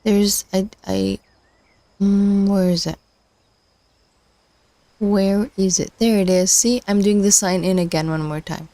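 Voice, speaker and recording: Woman on a coding stream, voice going hoarse, slow and halting, dry close sound. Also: medium pitch, fairly even intonation, dark tone, no echo. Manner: hesitant, many fillers and restarts, talks to herself. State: losing her voice. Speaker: livestream host learning machine learning. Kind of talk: casual screen-share livestream, thinking aloud.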